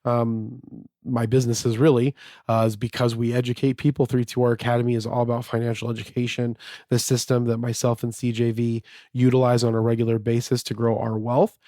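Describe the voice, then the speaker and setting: A man hosting his own podcast, talking casually and a bit slightly raspy from a fever, with lots of fillers and restarts. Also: medium pitch, little pitch movement, a little fast, mellow, no echo, clean studio sound. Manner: rambling, many fillers and restarts. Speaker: podcast host. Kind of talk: casual solo podcast monologue.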